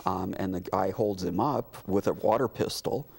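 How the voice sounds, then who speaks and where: A middle-aged or older man being interviewed on a talk show as a theater director, speaking haltingly and sometimes trailing off. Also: sits low, gravelly, a little mellow, slightly rough. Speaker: guest, community theater director. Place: TV studio.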